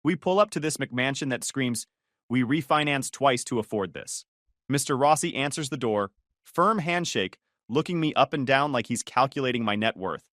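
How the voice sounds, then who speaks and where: A man's voice: mid-range voice, expressive, moderate pace, a bit bright, no echo, studio quality. A man narrating his own story in the first person, in a sarcastic, wry way.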